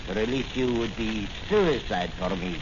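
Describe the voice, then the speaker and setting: An actor playing a cold, imperious saboteur captain, with formal, deliberate, stilted phrasing in old radio serial style. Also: male voice, fairly low pitch, expressive, lightly acted. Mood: menacing. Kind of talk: 1940s radio villain acting. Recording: noisy muffled 1940s radio recording.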